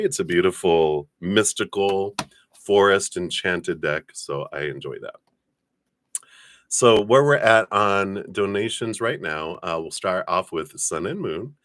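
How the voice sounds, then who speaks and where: Male livestream host, very low voice, congested sinuses, dry close sound, chatty and rambling. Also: fairly bright tone, no echo. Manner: friendly, rambling, frequent fillers and throat clearing. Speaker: tarot reader hosting a livestream. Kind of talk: casual livestream chat.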